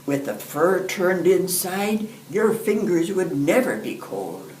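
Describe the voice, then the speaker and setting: A woman's voice: on the low side, sing-song, darkish tone. An elderly woman voicing a kindly, reassuring old grandmother in a storybook. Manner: reassuring, unhurried. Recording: roomy, distant microphone, background hiss.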